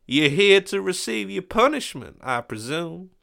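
A pompous, cowardly land baron. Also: male voice, high-pitched, sing-song, a little slow, creaky, bright, forceful tone, pitched above the performer's own voice, exaggerated voice. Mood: mocking. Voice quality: haughty, showy speech.

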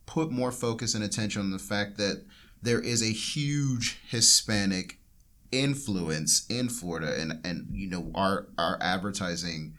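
A man's voice: lowish voice, measured pace, a bit bright. A young man hosting a casual podcast discussion, rambling and correcting himself as he talks. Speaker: podcast host. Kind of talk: casual panel chat.

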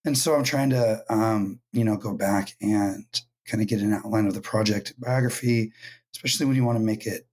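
Hoarse male writer guest, husky and strained, thoughtful pauses and many ums. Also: on the low side, darkish tone. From California. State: hoarse, lost voice. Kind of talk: casual podcast interview.

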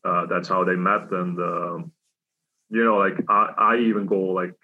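A man's voice: low-pitched, little pitch movement, measured pace. A young man from Switzerland, a hockey player, answering interview questions casually with many fillers and restarts. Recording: dull lo-fi sound.

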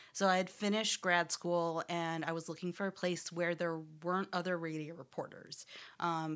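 Woman in her 30s, neutral radio-trained American voice, a touch low, chatty and quick to laugh. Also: a bit bright. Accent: none, neutral American. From Louisiana, raised in upstate New York. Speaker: audio journalist guest. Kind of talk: casual interview conversation.

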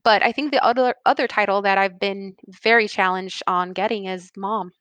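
A woman's voice: medium pitch, steady tone, moderate pace, a bit bright, dry recording. A woman in her thirties from Puerto Rico, a podcast guest talking candidly with frequent laughs and self-corrections. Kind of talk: conversational interview.

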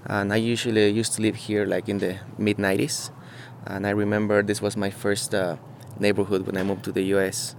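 A man from Mexico, talking casually outdoors in an on-site interview, halting and searching for words with many fillers. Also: on the low side, level tone, gravelly, a little hiss or hum. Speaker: muralist interviewee. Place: outdoors beside a mural.

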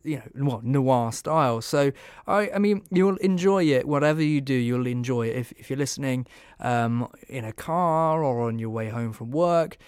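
Smooth-voiced male podcast host, casual. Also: medium pitch, moderate pace, darkish tone, no room sound, clean studio sound. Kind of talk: casual review chat.

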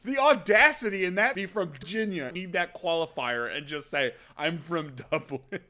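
A man co-hosting a comedy podcast, excitable and animated, often laughing. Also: on the high side, normal speed. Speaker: podcast co-host. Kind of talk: casual comedic chat.

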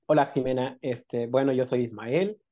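A man from the coast of Oaxaca whose first language is Amuzgo speaks as a guest in a relaxed interview. He talks haltingly, with frequent fillers and little tag questions, at an even middle pitch. The sound is dull and lo-fi. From Mexico, Oaxaca coast. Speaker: guest, documentary filmmaker. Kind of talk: casual interview, personal storytelling.

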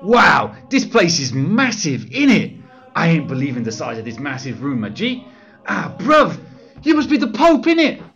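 Woman doing a husky, swooping London street lad. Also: low-pitched, wildly swooping pitch, moderate pace, faint music behind, heavily acted. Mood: awed, brash. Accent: London street slang. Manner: slangy, brash, informal. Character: cocky young male Galileo as slangy street lad. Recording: dull, lo-fi audio.

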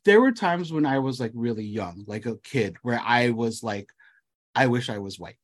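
Animated man telling stories, many restarts. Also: medium pitch, normal speed. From United States. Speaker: podcast co-host. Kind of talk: casual chat.

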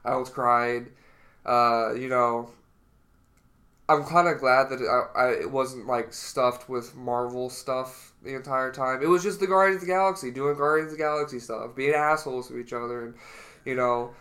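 A man's voice: medium pitch, steady tone, normal speed, bright, forceful tone. Stuffy-nosed young man, casual chat. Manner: rambling, casual, hesitant. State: stuffy nose from a cold. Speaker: podcast co-host.

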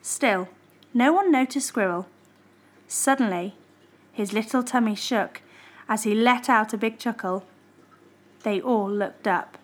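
A woman reading a children's storybook expressively and deliberately. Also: on the high side.

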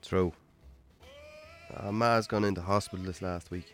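A man's voice: deep voice, steady tone, unhurried, soft background music. A man playing a worn-down, lonely Irish farmer in a radio drama, wry, understated and conversational. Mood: friendly, subdued. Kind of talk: naturalistic radio drama acting.

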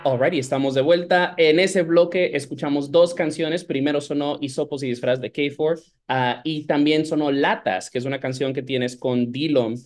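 Man, slightly high voice, casual interview host, English phrases mixed in, hesitant repeated words. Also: fairly even intonation, normal speed, few pauses, a little mellow, soft background music. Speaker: podcast host.

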